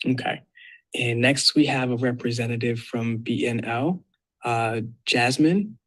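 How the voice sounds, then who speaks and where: A young man moderating an online panel discussion, introducing each speaker with frequent pauses and filler sounds. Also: mid-range voice, a bit bright, low-quality recording. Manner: halting, many filler uhs.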